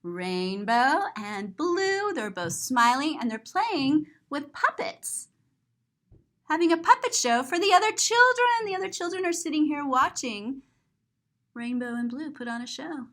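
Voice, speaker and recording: A woman with a fairly high, very animated voice reads a picture book to young children. She takes her time and pauses to explain things simply, like a teacher at story time.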